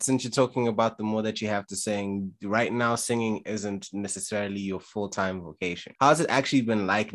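Man, interviewer, probing questions, lowish voice. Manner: probing, asks follow-ups.

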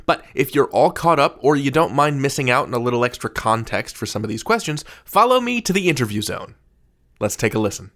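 A man's voice: medium pitch, very animated, fairly quick, a bit bright. A man hosting a podcast, introducing and interviewing in a playful, emphatic way.

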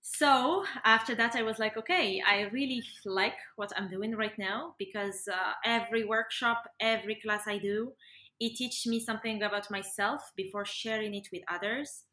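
A woman's voice: mid-range voice, moderate pace, pushed and bright. A young adult woman, talking casually as a guest in a podcast interview. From Morocco. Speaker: interview guest, yoga and breathwork facilitator. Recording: roomy, echoey sound.

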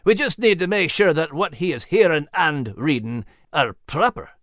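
A man's voice: a little high, lively intonation, moderate pace, fairly bright tone, no room sound, clean studio sound, voice pushed up. A man voicing a stern, skeptical middle-aged clergyman in a storybook, with a cool, huffy, pointed manner. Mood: suspicious.

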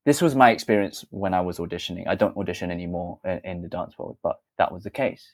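A young British man answers interview questions in a low voice. He talks candidly and thoughtfully about his work as a dancer. He often breaks off to correct himself and adds small fillers. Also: fast talker. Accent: British English. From Britain. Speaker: dancer and theatre maker. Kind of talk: interview answer, reflective storytelling.

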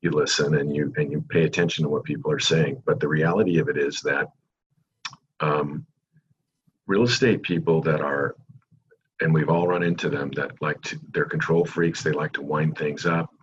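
A middle-aged American man with an extremely deep, calming voice that barely rises or falls. He explains things at length in a measured, unhurried way. The recording sounds slightly dull, with a faint hiss behind it. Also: husky. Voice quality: calming low voice. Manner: calm, measured, long-winded explanations. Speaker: real estate agent, guest. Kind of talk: podcast interview answers.

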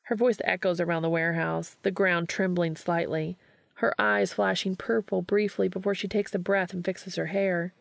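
A woman reading fiction aloud as an expressive scripted narration. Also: fairly low pitch, normal speed, gravelly, no echo. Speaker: fan fiction reader.

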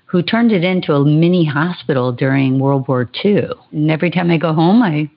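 Low-voiced woman telling life stories. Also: moderate pace, lo-fi sound. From Hawaii. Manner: warm storytelling, laughs easily. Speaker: guest. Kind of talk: podcast interview.

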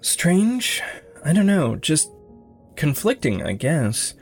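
An actor playing a gentle, guilt-ridden young man, halting and introspective, in a naturalistic audio drama. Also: a little high, very animated, breathy edge, faint music behind, heavily acted. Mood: conflicted, guilty. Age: young adult.

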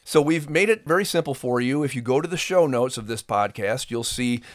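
A man's voice: medium pitch, lively intonation, a little fast, no room sound, clean studio sound. A middle-aged man hosting a podcast, speaking to listeners in an earnest, folksy, conversational way. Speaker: podcast host and ministry performer.

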